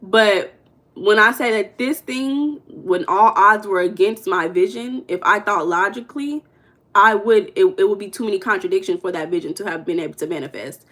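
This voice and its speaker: Young American woman, spiritual coach, casual livestream voice, rambling and relaxed. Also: medium pitch, normal speed. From United States. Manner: loose, rambling and conversational, with self-corrections and humming between comments. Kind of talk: casual livestream chat, reading viewer comments.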